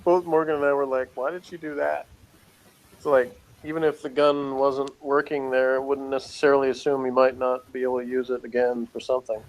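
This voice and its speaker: A man's voice: mid-range voice, little pitch movement, a little fast, poor audio. A man chatting casually as he reviews TV, often chuckling as he talks. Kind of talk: casual conversational review chat.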